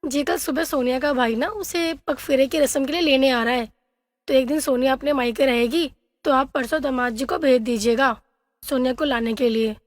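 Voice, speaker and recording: Woman as gracious mother, high reverberant voice. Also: moderate pace, studio quality, close to an everyday voice. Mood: courteous. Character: gracious, doting middle-aged mother of the bride.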